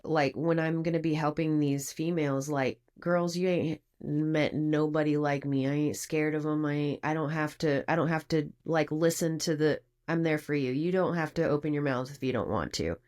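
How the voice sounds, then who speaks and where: A woman's voice: deep voice, steady tone, normal speed, few pauses, a little mellow. A woman guest on a podcast, speaking in a brash and outspoken way with casual swearing. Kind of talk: casual interview chat.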